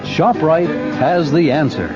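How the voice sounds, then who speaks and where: Lively, higher-pitched male radio announcer. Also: a little slow. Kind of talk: 1970s scripted ad and promo read. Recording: muffled radio broadcast with music. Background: music.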